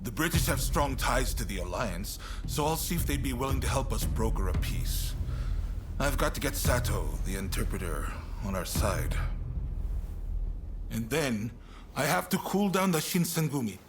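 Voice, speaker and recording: An actor playing a resolute, burdened samurai commander in a video game cutscene, speaking in a measured, plain-spoken way with weary resolve. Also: male voice, medium pitch, sing-song, natural voice. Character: frank, resolute samurai military commander, burdened but calm. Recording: music behind the voice.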